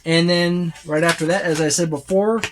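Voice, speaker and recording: A tabletop game reviewer giving a casual, unscripted overview, speaking haltingly with repeated words and trailing lists. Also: mid-range voice, steady tone, slight background noise. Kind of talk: casual unscripted book flip-through overview.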